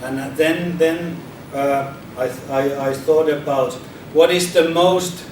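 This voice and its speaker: A man from Finland talks to an audience in a large, heavily echoing hall, heard from across the room. He speaks hesitantly, repeating words and restarting his sentences. A steady noise sits under his voice. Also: a little high, slow pace. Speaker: speaker at a public debate. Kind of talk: informal public talk. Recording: echoey hall from far off, noisy.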